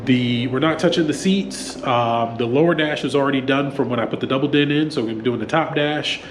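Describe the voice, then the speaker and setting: Male host with a head cold, casual how-to explainer, roomy echo, steady fan hum behind. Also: mid-range voice, fairly quick. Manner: relaxed, many ums and restarts. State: stuffy from a cold. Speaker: auto mechanic channel host. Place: home garage workspace. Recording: echoey room with fan noise.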